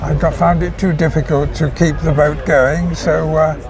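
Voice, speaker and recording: A man's voice: on the high side, little pitch movement, normal speed. An older man with an English accent, explaining things in a hesitant, understated way in an outdoor interview. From England. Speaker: interview guest, classic car club member. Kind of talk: casual interview. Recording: outdoor event with crowd noise behind.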